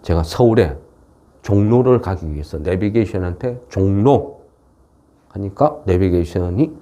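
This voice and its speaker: Man, regional South Korean dialect, mellow relaxed tone, folksy commentator, informal lecture, frequent rhetorical tag questions. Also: low voice, unhurried. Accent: regional South Korean dialect, non-Seoul. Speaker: stock market commentator. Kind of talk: informal explanatory market lecture.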